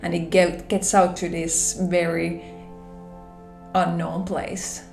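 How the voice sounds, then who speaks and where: A woman with a Finnish accent, speaking English as a second language, shares gentle reflections on a spiritual journey in a soft, pausing manner. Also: fairly low pitch, little pitch movement, creaky. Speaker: spiritual vlogger. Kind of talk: gentle reflective monologue to camera. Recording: roomy echo with soft background music.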